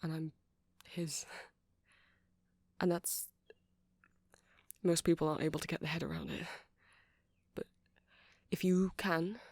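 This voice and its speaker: A man's voice: on the high side, little pitch movement, unhurried, pauses often, a little mellow, dry recording, studio quality. A man playing a shy, earnest, nerdy vampire in an ASMR roleplay, speaking in a hesitant, rambling, stammering way. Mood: hopeful, friendly. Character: shy, earnest, nerdy male vampire running a music shop.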